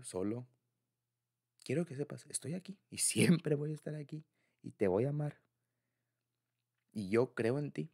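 A man's voice: fairly low pitch, vocal fry, darkish tone, husky. A man with a Mexican accent speaking in an informal, affectionate, rambling way, as in a personal ASMR boyfriend roleplay.

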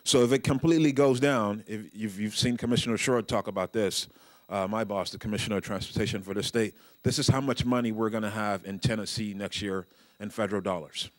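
Middle-aged guy giving a keynote, lowish voice, lots of ums and restarts, dry close mic. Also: steady tone, brisk, dry recording. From Nigeria. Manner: conversational, lots of fillers and restarts, joking asides. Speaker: keynote speaker, state transportation official. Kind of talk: conference keynote speech. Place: large conference room with seated audience. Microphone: handheld microphone.

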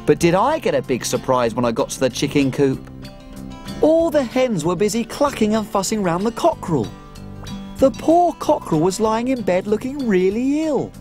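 A woman voicing a small cook who tells her farm adventure in lively, expressive storybook narration for children. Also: medium pitch, normal speed, faint music behind, close to an everyday voice. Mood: storytelling.

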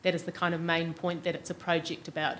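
A woman's voice: fairly low pitch, flat delivery, fast talker, a bit bright. A middle-aged woman with an Australasian accent answering questions as an academic speaker, thinking aloud and qualifying as she goes. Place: large university lecture room. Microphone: lectern microphone. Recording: clearly noisy lecture room recording.

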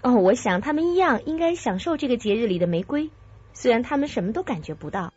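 A woman's voice: fairly high pitch, very animated, fast talker, slight background noise. An actress playing a mysterious, decisive young woman in a suspense radio drama. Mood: reserved. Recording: dull lo-fi sound, loud music behind.

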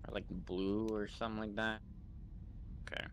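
A man's voice: on the low side, little pitch movement, normal speed. A young man chatting casually in character as a bar customer in improvised game role-play over voice chat. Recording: faint background noise on game voice chat.

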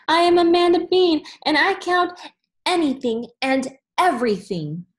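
A woman voicing an excitable, determined young girl in a storybook, with an emphatic, exclaiming delivery. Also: very high voice, a little slow, pitched above the performer's own voice. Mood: insistent.

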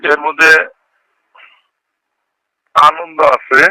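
A man's voice: fairly high pitch, level tone, extremely slow, bright, forceful tone, no room sound. A middle-aged Bangladeshi man chatting casually on a recorded phone call, repeating himself and checking he is understood. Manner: repetitive, often checks the listener understands. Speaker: local politician.

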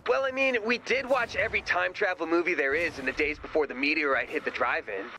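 Excitable, creaky-voiced young slacker guy. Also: on the high side, quick pace, a little hiss or hum. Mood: excited. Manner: earnest, melodramatic. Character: excitable, earnest, gullible young man, conspiracy-minded slacker. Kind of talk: animated video game character dialogue.

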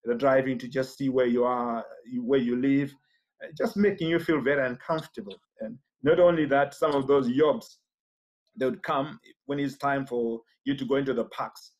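A man's voice: mid-range voice, normal speed. A middle-aged male pastor on a video call, telling of past experiences and playing out the conversations in them. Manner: animated, acting out dialogue in his stories. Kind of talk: personal testimony on a video call.

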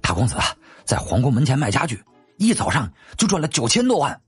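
A man's voice: medium pitch, wildly swooping pitch, a little fast, husky, faint music behind, voice pushed up, full character voice. A man voicing a flustered, servile household steward in a historical audiobook, anxious and deferential. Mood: excited.

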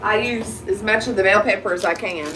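A woman's voice: medium pitch, brisk. Woman, 30s, congested head cold, rambling cooking chat, home kitchen, distant phone mic, noisy. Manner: rambling, chatty, talks while chopping. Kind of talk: casual cooking vlog chat. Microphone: phone camera set across the counter. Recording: distant phone mic with clear background noise.

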